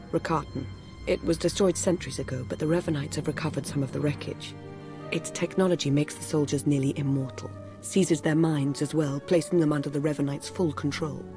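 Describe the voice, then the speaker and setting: An actress playing a composed, calculating intelligence agent in a video game, formal and precise. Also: female voice, low-pitched. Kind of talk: video game character acting.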